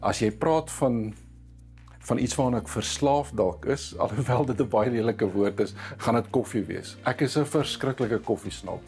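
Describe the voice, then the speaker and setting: A man's voice: medium pitch, normal speed, darkish tone, soft background music. A man with a South African accent, chatting casually as a television presenter. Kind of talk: casual chat.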